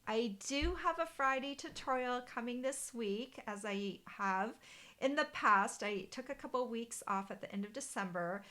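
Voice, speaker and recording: A woman's voice: on the high side, normal speed, pushed and bright, poor audio. Chatty woman hosting a craft live stream. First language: German. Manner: chatty, hesitant, many ums and restarts. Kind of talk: casual live-stream chat with viewers. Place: bright living room indoors.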